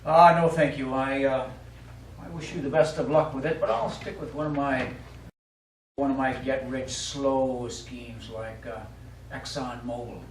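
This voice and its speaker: Male actor as cautious wealthy gentleman, reserved, halting speech, stage play, echoey, far from mic. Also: mid-range voice, steady tone, natural voice. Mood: politely dismissive. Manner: halting, politely reserved, repeats words. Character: cautious, polite, wealthy conservative gentleman client. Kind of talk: live stage play acting. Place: small indoor theater studio. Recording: echoey stage recording from far off, faint noise.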